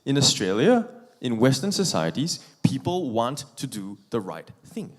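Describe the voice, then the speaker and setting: A man's voice: mid-range voice, very animated. Malaysian-born guy preaching in church, short chunks, waits for the interpreter. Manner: speaks in short phrases, pausing for the interpreter. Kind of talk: sermon with live interpretation. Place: church sanctuary.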